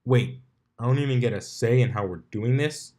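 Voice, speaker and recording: A man voicing a sarcastic, put-upon male pegasus stallion with a deadpan delivery in a story reading. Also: medium pitch, normal speed, lightly acted. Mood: puzzled, indignant.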